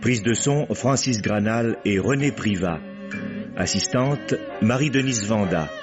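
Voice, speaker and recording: A man reading credits as a radio announcer, formal, measured and solemn. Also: lowish voice, dull audio, music in the background.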